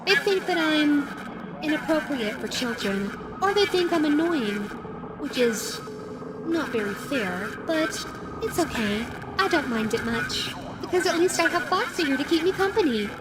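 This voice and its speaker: A woman's voice: high voice, expressive, normal speed, crowd noise, low-quality recording. A woman voicing a flirty, menacing female animatronic fox in an audio roleplay, playful, coy and teasing. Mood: pouty, clingy. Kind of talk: audio roleplay addressed to the listener. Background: music.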